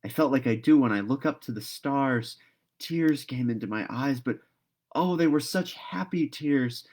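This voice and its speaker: A man's voice: mid-range voice, measured pace, darkish tone, lightly acted. A man voicing a talkative, dreamy young girl in a storybook read-aloud, earnest and gushing. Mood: rapturous.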